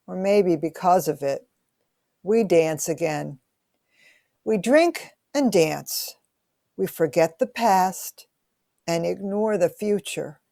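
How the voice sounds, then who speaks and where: An actress playing a grieving woman from 1920 in an amateur radio play, speaking slowly and somberly. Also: lowish voice, creaky, warm tone, no echo, clean studio sound.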